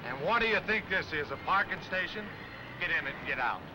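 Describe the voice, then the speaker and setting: A bossy beat cop from an old 1940s serial. He barks wisecracks in a high, irritated voice. Traffic noise is clear behind him on the old film soundtrack. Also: expressive, fast talker. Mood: irritated, barking.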